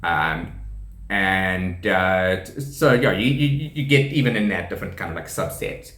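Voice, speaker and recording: A man's voice: low-pitched, animated, a little slow, a bit bright. A man in his thirties with a South African accent, deaf and using hearing aids, explaining his experience in a rambling, casual way with frequent ums, restarts and laughter. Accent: South African English. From South Africa, KwaZulu-Natal. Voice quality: speech shaped by profound hearing loss. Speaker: deaf teacher and rugby player presenting a channel. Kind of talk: casual explanatory talk to camera. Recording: echoey room.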